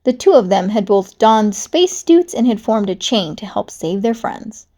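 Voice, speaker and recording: A woman reading a children's storybook aloud, with animated, dramatic narration. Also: medium pitch, a little slow. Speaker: storybook reader.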